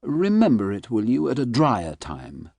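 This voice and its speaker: A man's voice: medium pitch, huge pitch swings, a little slow, creaky, a little mellow, no room sound, voice pushed up, exaggerated voice. Man voicing a cool, commanding genteel lady. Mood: indifferent. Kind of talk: audiobook character reading.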